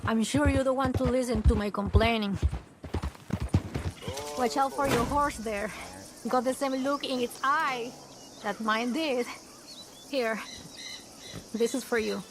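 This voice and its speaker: A woman's voice: on the high side, unhurried, long pauses, very noisy, close to an everyday voice. An actress playing a stranded, chatty, complaining woman of the Old West in a video game. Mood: calmer, wry. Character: stranded, grumbling Western woman thrown from her horse. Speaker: stranded traveler. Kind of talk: video game character dialogue.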